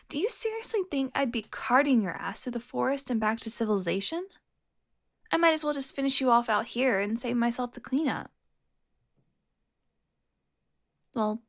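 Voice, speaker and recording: A woman playing a teasing, flirtatious, sardonic and foul-mouthed vampire in an audio roleplay, speaking straight to the listener. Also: fairly high pitch. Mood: menacing, exasperated. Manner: sardonic, teasing, breathy asides.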